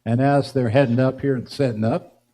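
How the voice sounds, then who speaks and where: A man hosting a live music show, chatting casually and haltingly as he interviews guests, with chuckles between phrases. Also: mid-range voice, fairly even intonation, warm tone.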